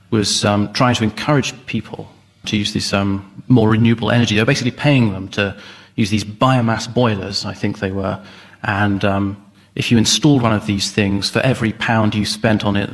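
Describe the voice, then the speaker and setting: A man explaining things in a hesitant, rambling, comic way as a panel show guest. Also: lowish voice. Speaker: comedian panellist.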